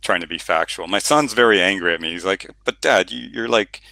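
Rambling middle-aged man, bright and dry. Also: low-pitched, a little fast, gravelly, dry recording. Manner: rambling, with many fillers and restarts. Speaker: novelist guest. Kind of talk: casual interview talk, then reading aloud from his novel.

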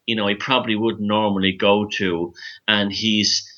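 A man in his fifties with a Dublin Irish accent, a podcast guest telling stories in an animated way with stumbles and restarts. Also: lowish voice, normal speed. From Ireland. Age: 50s. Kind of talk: conversational interview. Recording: dull lo-fi remote line.

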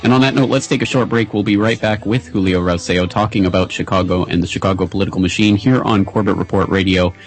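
A man hosting a radio interview, talking in a measured, wry way with frequent "uh" restarts. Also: low voice, fairly even intonation. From Canada. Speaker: radio show host.

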